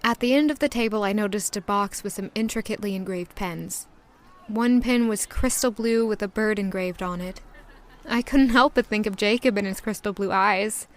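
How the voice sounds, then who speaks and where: An actress playing a proud, wistful young castle maid, narrating reflectively in the first person for an audio drama. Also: female voice, medium pitch, close to an everyday voice. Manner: reflective, descriptive.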